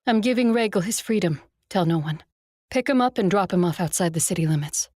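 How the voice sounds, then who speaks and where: A woman playing an ancient, proud vampire commander in an audio drama, measured, haughty and coolly mocking. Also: fairly low pitch, animated, warm tone, dry recording, clean studio sound. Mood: resigned, commanding.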